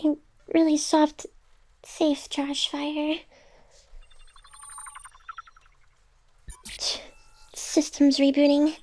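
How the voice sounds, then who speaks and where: A woman's voice: high-pitched, level tone, takes it slowly, faint music behind. A woman playing a playful, guarded female AI who is secretly tender, in an intimate ASMR roleplay with teasing and denials. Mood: guarded, earnest. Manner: tsundere, teasing, flustered denials over soft confessions.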